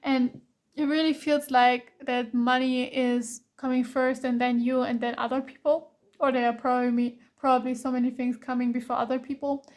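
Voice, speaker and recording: A woman's voice: high voice, level tone, moderate pace, darkish tone. A woman with a German accent, German being her first language, giving a blunt, earnest pick-a-card tarot reading full of ums and restarts. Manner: blunt, earnest, calling the viewer out directly; frequent ums and restarts, repeats "to be honest".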